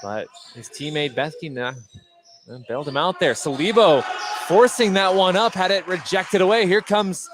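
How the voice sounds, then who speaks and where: A man's voice: high voice, normal speed. An American man giving live play-by-play commentary on a basketball game as the announcer. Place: courtside in an indoor gym. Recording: noisy gym with ball bounces. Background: gym noise, bouncing basketball.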